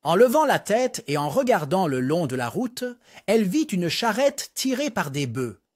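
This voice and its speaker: Male children's storyteller, lively sing-song delivery, bright high register. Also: moderate pace, slightly rough, no room sound, studio quality. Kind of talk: bedtime story narration for toddlers.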